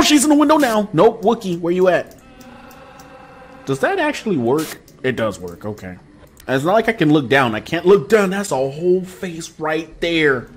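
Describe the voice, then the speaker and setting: A young man streaming a horror game live, talking nervously to his chat with sudden yelps and laughs. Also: high voice. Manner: jumpy, nervous, bursts of loud yelps and laughter. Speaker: gaming streamer. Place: small room with posters behind.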